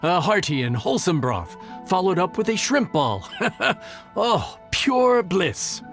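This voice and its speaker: Earnest, lightly pitched young chef. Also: male voice, very animated, slow pace, music in the background, exaggerated voice. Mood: earnest, proud. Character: earnest, nervous young male chef in a fantasy game. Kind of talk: animated video game voice acting.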